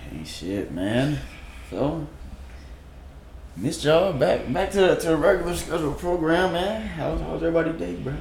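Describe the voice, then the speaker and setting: A young man hosting a casual podcast chat with friends. He talks in relaxed, slangy banter and laughs often. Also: on the high side, normal speed, gravelly. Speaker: podcast host. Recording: echoey room with background noise.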